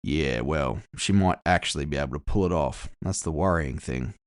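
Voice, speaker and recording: A worldly, wry guy, voiced by a male narrator, talks frankly in a cyberpunk audio story. His voice sits very deep. He keeps it understated and matter-of-fact, recorded dry. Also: normal speed, no room sound. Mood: grave, wary. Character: Les, worldly male friend, frank and wryly cynical. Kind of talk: conversational dialogue in cyberpunk audio fiction.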